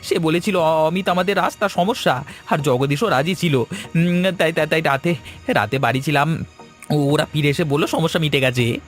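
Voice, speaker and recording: A man's voice: fairly high pitch, normal speed, faint music behind, close to an everyday voice. A man voicing a frightened, guilty village meat seller in a cartoon, stammering and repeating words nervously. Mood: frightened confession. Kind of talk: Bengali cartoon dialogue.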